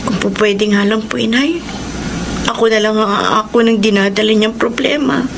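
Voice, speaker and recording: High-voiced devoted young woman, emotional. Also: fairly even intonation, creaky, background noise. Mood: worried. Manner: earnest, emotional, drawn-out emphatic delivery. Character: devoted young woman in love, tender and pleading. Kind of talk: Filipino radio drama acting.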